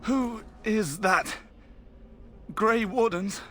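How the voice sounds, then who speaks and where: Desperate injured game soldier: pained, gasping, slow, sharply strained. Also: male voice, high-pitched, fairly bright tone, faint music behind, heavily acted. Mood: pained pleading. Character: wounded, desperate soldier pleading for help. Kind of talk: video game voice acting.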